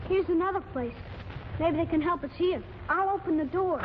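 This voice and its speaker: An earnest young schoolchild with an extremely high little voice. The delivery is innocent and literal, in the manner of 1940s radio comedy. The sound is dull and dated. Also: moderate pace, music in the background. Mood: curious, innocent. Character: earnest, naive young schoolchild petitioning the government. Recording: muffled 1940s radio broadcast.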